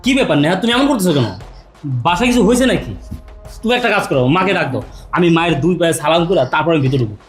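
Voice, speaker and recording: Man as a devoted son back from abroad, insistent and pleading, brisk delivery. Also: sits high, animated, soft background music.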